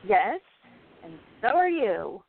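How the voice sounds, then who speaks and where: A woman's voice: mid-range voice, slow pace, creaky, slightly rough, heavily acted. A woman playing a feisty, sarcastic but fond young actress in a radio play, with a sassy delivery. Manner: sassy, expressive. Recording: tinny, band-limited phone-like sound.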